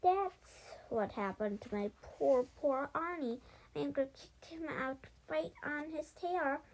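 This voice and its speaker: Woman voicing a high-pitched, tearful young lady mouse. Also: slow, darkish tone, a little hiss or hum, poor audio. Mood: upset, indignant. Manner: blubbering, pleading. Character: young female mouse, tearful, lovesick, melodramatic.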